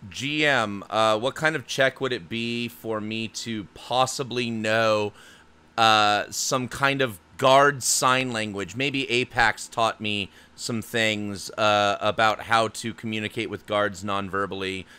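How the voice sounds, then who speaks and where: A man's voice: fairly low pitch, steady tone, takes it slowly, sharp tone, smooth. A man playing a tabletop game, hesitant with lots of fillers and restarts. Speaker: player. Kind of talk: tabletop game play, in and out of character.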